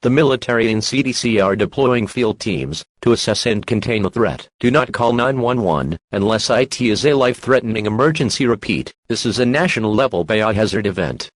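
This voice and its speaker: A man's voice: on the low side, no echo. Dry, formal male emergency alert announcer. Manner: formal, measured, impersonal. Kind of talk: scripted emergency broadcast announcement.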